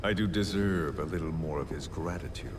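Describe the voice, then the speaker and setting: A cold menacing game villain, really low voice, solemn n philosophical, noisy background. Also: male voice. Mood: measured resentment. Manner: slow, deliberate, solemn monologuing. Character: calm, coldly vengeful male villain, philosophical and menacing. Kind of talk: dramatic video game cutscene acting.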